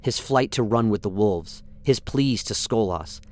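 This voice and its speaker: A man's voice: fairly low pitch, unhurried. A man narrating a science-fiction radio play in a dramatic, scripted storytelling style. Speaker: narrator, lore reader.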